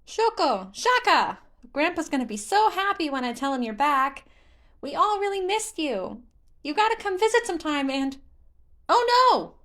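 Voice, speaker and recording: A woman voicing an excitable, bubbly, childlike tree spirit who exclaims in a sing-song way in a fantasy story reading. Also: extremely high pitch, moderate pace, pitched above the performer's own voice, heavily acted. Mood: giddy.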